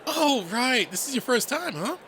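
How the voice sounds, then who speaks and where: A man voicing a cocky, friendly young male animal fighter in an audio story, with an excitable, slangy, teasing manner. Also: high voice, normal speed, a little hiss or hum, voice pushed up, heavily acted. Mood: excited, friendly.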